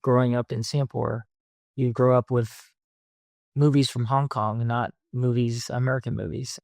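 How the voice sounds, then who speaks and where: A man in his fifties, a podcast guest, recalling his past in a reflective, unhurried way with many fillers. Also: mid-range voice, flat delivery, a little mellow, no echo. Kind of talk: conversational interview answers.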